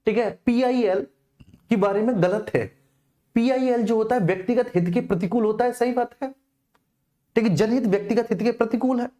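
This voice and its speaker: A woman's voice: a little high, brisk, dark tone. A woman giving an informal exam-prep lecture as a coaching teacher, repeating key phrases for emphasis and often checking in with students.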